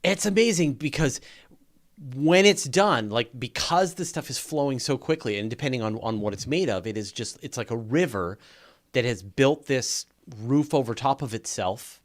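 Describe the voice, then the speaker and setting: A man's voice: medium pitch, moderate pace. A man with a Canadian accent, co-hosting a science podcast in an enthusiastic, casual chat. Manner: enthusiastic, animated. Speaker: podcast co-host.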